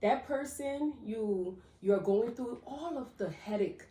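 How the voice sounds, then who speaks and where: A woman from Liberia co-hosting a casual chat. She is animated, laughs often and repeats words as she starts her sentences. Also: a little high, slow, reverberant. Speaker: co-host.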